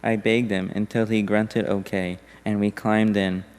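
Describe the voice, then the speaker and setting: Low, resonant man in a reverberant church. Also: clean tone. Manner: steady, deliberate recitation. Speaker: congregation member reading a poem. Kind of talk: measured poetry reading in a church service. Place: church sanctuary. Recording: long hall reverb.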